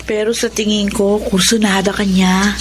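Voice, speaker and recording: An actress playing a blunt, teasing young Filipino woman, the expressive confidante in a radio drama. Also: mid-range voice, moderate pace, a little hiss or hum, lightly acted. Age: young adult.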